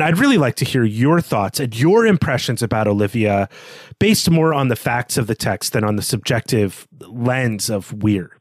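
A man's voice: mid-range voice, no echo. A man co-hosting a podcast, talking through a literary discussion in an analytical, explanatory way with clarifying asides. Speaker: podcast co-host.

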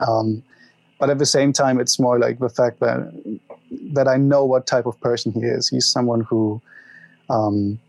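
A young man from Germany with a German accent, talking openly and haltingly as an interview guest. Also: mid-range voice, fairly even intonation, gravelly, darkish tone, no room sound, low-quality recording. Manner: open, halting, laughs at himself. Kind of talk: candid personal interview.

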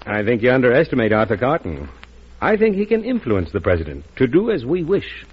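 An actor playing a cool, scheming financier in an old-time radio drama, with a husky voice. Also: male voice, medium pitch, huge pitch swings, a little fast. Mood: smooth, scheming. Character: cool, calculating male financier, scheming businessman. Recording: muffled vintage radio recording.

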